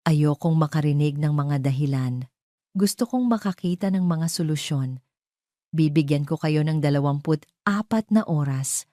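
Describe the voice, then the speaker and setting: Woman doing a ruthless ceo guy, calm and weighty, bossy, dry audio drama sound. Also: low-pitched, moderate pace, no echo, close to an everyday voice. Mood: cold, commanding. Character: cold commanding male billionaire CEO.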